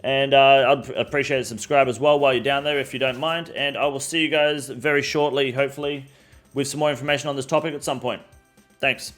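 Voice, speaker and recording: A man in his thirties speaks casually to camera as a game streamer, relaxed and meandering, with plenty of fillers and a bit of swearing. His voice sits at an average pitch, and faint music plays underneath. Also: brisk. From Western Australia. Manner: relaxed, rambling, with many ums and mild swearing. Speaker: game streamer and video creator. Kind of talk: casual direct-to-camera appeal.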